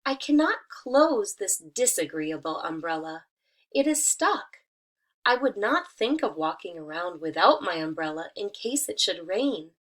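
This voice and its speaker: A woman's voice: on the low side, big pitch swings, unhurried, fairly bright tone, heavily acted. Woman reading as a formal, fussy, emphatic storybook baboon. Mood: annoyed.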